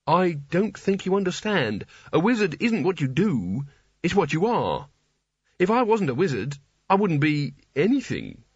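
A man's voice: on the high side, very animated, unhurried, husky, no echo, lo-fi sound, pitched above the performer's own voice, exaggerated voice. A man voicing a gloomy, weary, cowardly failed wizard in a storybook, dry, sardonic and irritable. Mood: baffled, gloomy.

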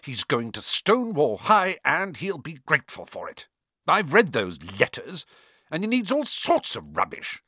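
Man doing a blustering, forceful angry uncle. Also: on the high side, wildly swooping pitch, a little slow, husky, no room sound, clean studio sound, pitched above the performer's own voice, full character voice. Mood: hissing anger. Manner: hissing, yelling, pompous indignation. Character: blustering, angry middle-aged uncle, stubborn and contemptuous. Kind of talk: audiobook character acting.

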